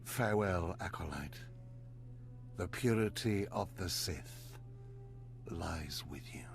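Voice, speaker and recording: Slow, solemn Sith overseer. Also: male voice, low voice, creaky, over loud music, exaggerated voice. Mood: dying, solemn. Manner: solemn, measured, formal. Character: resigned, dignified male Sith overseer, a stern mentor. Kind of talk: scripted video game voice acting.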